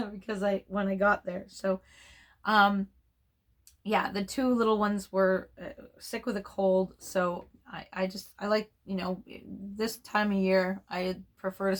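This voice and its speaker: Hoarse froggy-voiced woman, 50s, rambling on and laughing a lot, at home off camera. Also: medium pitch, fairly bright tone. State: hoarse, strained voice. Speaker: reborn doll vlogger. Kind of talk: casual chatty storytelling.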